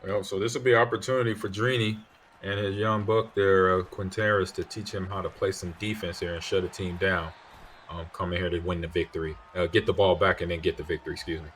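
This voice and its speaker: Deep-voiced middle-aged male game analyst. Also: quick pace. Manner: steady, explanatory, a bit rambling. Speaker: color commentator. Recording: faint background noise.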